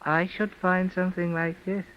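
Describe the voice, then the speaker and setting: Actor as high-voiced sardonic doctor. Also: male voice, flat delivery, normal speed, clear, resonant tone, natural voice. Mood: dry. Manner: dry, wisecracking, blunt. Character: cynical, sardonic male doctor, detective's friend. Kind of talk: 1940s radio mystery acting. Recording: dull, lo-fi vintage radio recording.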